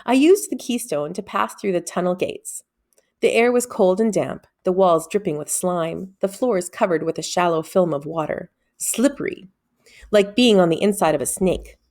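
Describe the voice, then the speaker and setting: A woman reading a children's fantasy novel aloud as an expressive first-person narrator. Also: on the low side, moderate pace. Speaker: audiobook-style reader.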